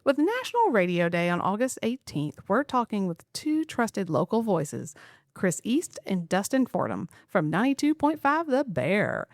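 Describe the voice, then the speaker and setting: A woman's voice: mid-range voice, big pitch swings, normal speed, no room sound, studio quality. A woman hosting an interview show, reading a scripted intro and reacting to her guest. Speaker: host.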